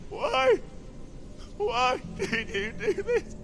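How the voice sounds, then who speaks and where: An actor playing an anguished, defiant young warrior in a video game, intense and emotionally raw, with a gravelly, halting delivery. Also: male voice, low-pitched, expressive, slight background noise, heavily acted. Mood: desperate anguish. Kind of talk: dramatic video game voice acting. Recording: loud music behind the voice.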